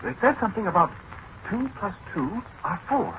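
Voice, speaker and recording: An actor playing a flustered, earnest, halting man in a 1940s radio drama. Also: high voice, lively intonation, sharp tone, dull audio. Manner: hesitant, halting, stammering. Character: flustered, earnest man convinced his machine talks. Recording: noisy, band-limited 1940s radio recording.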